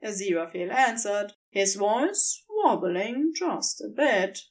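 A woman narrating scripted fiction in an expressive, dramatic storytelling manner. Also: mid-range voice, takes it slowly.

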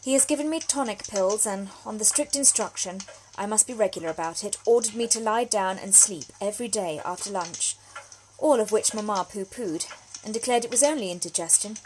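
A woman's voice: medium pitch, fairly quick, darkish tone, slight background noise, close to an everyday voice, low-quality recording. A woman playing a genteel, frank young Victorian letter writer in an audio drama monologue, speaking with formal, precise diction. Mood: confiding. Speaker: actor reading a solo audio drama. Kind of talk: period monologue, letters read aloud.

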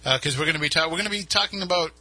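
A man's voice: a little high, very fast, bright, forceful tone, breathy edge, no room sound. A man with a New England accent hosting a radio show, chatting casually in a studio with many restarts. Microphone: studio mic.

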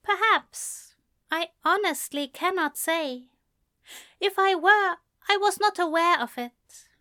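A woman voicing a gentle, prim male angel in love, speaking softly and carefully with a plaintive, formal manner. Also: very high-pitched, slow pace, no room sound, clean studio sound, pitched above the performer's own voice, full character voice. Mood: careful, tender.

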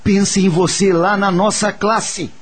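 A man playing a wise, patient spirit teacher, speaking in a measured, reassuring and didactic way in a radio drama. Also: high-pitched, steady tone, slightly rough, full character voice. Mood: reassuring, instructive. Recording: faint music under the voice.

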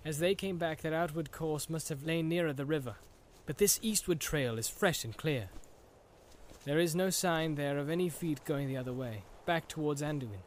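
A man's voice: on the high side, normal speed, slight background noise, lightly acted. Aragorn, a grave ranger leader, read by a man.

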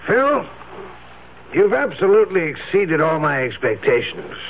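A man's voice: on the high side, very animated, unhurried. An actor playing a genial, proud old wealthy father-in-law, jovial and ceremonious, in a 1970s radio drama. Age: older. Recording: dull lo-fi 1970s radio recording with hiss.

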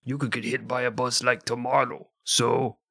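A man voicing a brash, careless Soviet official in a mock Russian accent, flippant and loud. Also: medium pitch, level tone, moderate pace, gravelly.

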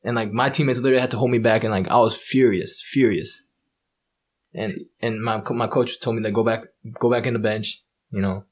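Young male athlete guest, slightly low husky voice, loose casual chat. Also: a little fast. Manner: relaxed, swearing, animated when retelling. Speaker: podcast guest, professional soccer player.

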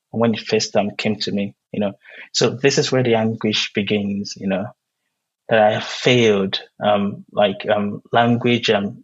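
Nigerian male poet, Igbo native speaker, slightly husky, halting and thoughtful, dull lo-fi sound. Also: fairly low pitch. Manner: halting, many "um"s, searching for words. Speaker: poet, interview guest. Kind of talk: reflective interview conversation.

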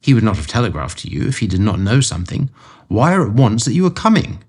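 A man reading aloud voices a sharp, authoritative detective with a dry wit. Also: on the low side, big pitch swings, fast talker. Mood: commanding. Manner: crisp, authoritative. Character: sharp, commanding male detective, dry humor.

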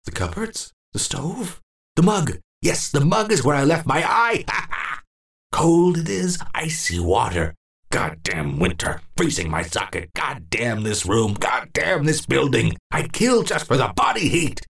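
A man's voice: on the high side, sing-song, unhurried, creaky, voice pushed up, heavily acted. A man plays a frightened old man lost in a nightmare, his voice leaping up and down as he mutters and repeats himself. It sounds distant and roomy, as if heard from across an echoing space. This is a horror audiobook. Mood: muttering. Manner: frantic, repetitive muttering in first person.

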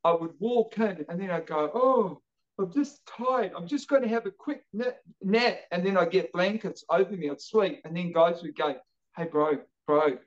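A man's voice: high-pitched, moderate pace, lo-fi sound. A man in his late fifties with a New Zealand accent, chatting casually as a podcast guest, telling stories energetically and often repeating his words as he goes. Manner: energetic, stammering repetitions. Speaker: podcast guest, jiu-jitsu coach. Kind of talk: casual chat, animated storytelling.

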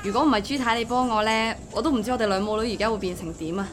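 A woman's voice: on the high side, rapid-fire, background noise, close to an everyday voice. An actress playing a devoted, vulnerable young single mother in a Hong Kong Cantonese audio drama, speaking in a heartfelt way. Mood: grateful. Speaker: voice actor. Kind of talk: audio drama acting with first-person narration.

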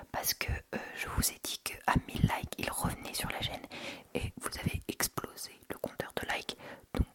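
Woman, ASMR creator: casual, intimate chat in a soft whisper, close-miked. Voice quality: unvoiced, breathy whisper. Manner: informal, chatty, intimate. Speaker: ASMR video creator and host. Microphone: sensitive Tascam recorder without windscreen, close up.

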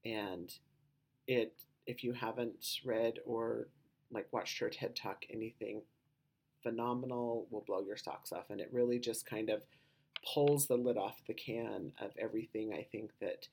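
Low husky-voiced woman rambling on her podcast, lots of you know and um. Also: fairly even intonation, a little slow, darkish tone. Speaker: podcast host. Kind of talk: casual podcast monologue.

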